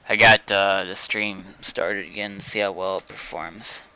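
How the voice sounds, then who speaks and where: A game streamer, a man with a low and very sharp, forward voice that often drops into fry. He chats casually, thinking aloud, with faint noise and typing behind him. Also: fairly even intonation, unhurried, low-quality recording. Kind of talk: casual chat while testing stream settings.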